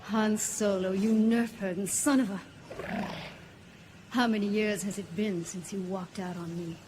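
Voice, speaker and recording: An actress playing a sly, sardonic smuggler with a sultry, teasing manner in an audio drama. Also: female voice, medium pitch, fairly even intonation, a little slow, background noise, natural voice. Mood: scornful. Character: sly, sardonic female smuggler, a jilted old flame. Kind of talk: space-opera audio drama acting.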